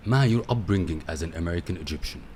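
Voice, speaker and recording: A man hosts a talk-show interview in a very deep voice. He is effusive and repeats his words of praise. Faint noise hums under the recording. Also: expressive, quick pace. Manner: effusive, repeats himself warmly. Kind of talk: hosting an interview.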